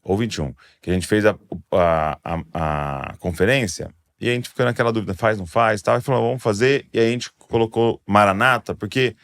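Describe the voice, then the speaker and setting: Low-voiced Brazilian man hosting a podcast. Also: fairly quick, few pauses, no echo, studio quality. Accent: Brazilian Portuguese. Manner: relaxed, rambling with many fillers. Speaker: podcast host. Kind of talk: casual chat.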